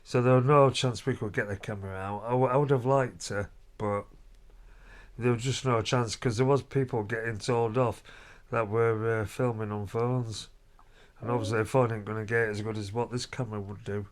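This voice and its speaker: A man's voice: fairly low pitch, fairly even intonation, moderate pace. A man chatting casually as a family vlog host, often tagging questions onto his remarks. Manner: chatty, seeking agreement with tag questions. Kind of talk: casual chat reviewing a family outing. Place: hotel room.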